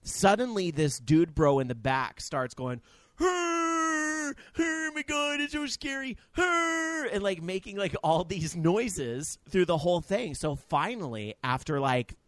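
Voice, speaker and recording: A man co-hosting a casual podcast, telling profane, animated stories. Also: high voice, a little slow, no room sound. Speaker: podcast co-host. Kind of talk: casual chat.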